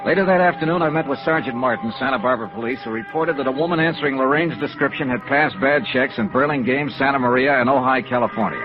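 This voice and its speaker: An American actor playing a cool, probing insurance investigator in a 1950s radio noir drama, clipped and matter-of-fact. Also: male voice, medium pitch, quick pace, hardly any pauses, close to an everyday voice. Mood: matter-of-fact narration. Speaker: lead actor and narrator. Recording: muffled vintage radio recording with music.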